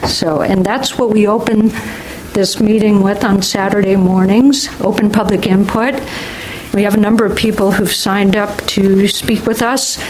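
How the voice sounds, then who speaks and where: A woman chairs a public hearing in a friendly, orderly way, with the odd filler. Noise can be heard clearly behind her voice, along with some clicks. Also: mid-range voice, steady tone, normal speed, darkish tone, low-quality recording. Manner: friendly, procedural, a few fillers. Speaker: commission chair. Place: public meeting room.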